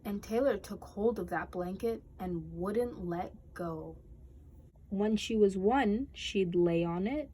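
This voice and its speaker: A woman reading a children's picture book aloud as a storytime host, with deliberate, expressive storybook delivery. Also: medium pitch, breathy edge.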